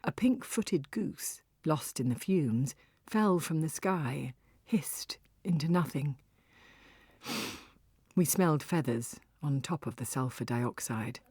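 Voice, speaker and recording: A woman's voice: low-pitched, animated, takes it slowly, darkish tone, slightly rough, no room sound, clean studio sound, exaggerated voice. A woman playing a wry, exuberant Icelandic cafe owner and volcano guide, narrating in the first person with theatrical, confiding asides. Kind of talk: dramatised first-person audiobook monologue.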